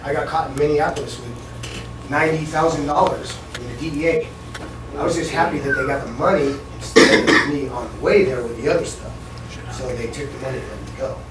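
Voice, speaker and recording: A man's voice: medium pitch, brisk. Blunt middle-aged man in an echoey hall. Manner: candid, blunt, plain-spoken. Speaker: speaker at a school assembly. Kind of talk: frank talk to students. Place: school hall. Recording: echoey hall from far off, noisy room.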